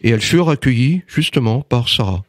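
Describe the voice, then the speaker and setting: A man's voice: medium pitch, animated, brisk, no echo, clean studio sound. A man giving an interview in casual conversation, speaking hesitantly with many fillers and restarts. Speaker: interviewee, writer and magnetizer.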